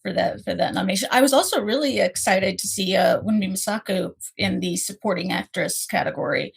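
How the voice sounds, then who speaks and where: Woman, husky voice, gravelly fry, chatty, enthusiastic film podcast co-host. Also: mid-range voice, fairly quick. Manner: chatty, enthusiastic, tumbling phrasing. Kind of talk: casual film industry chat.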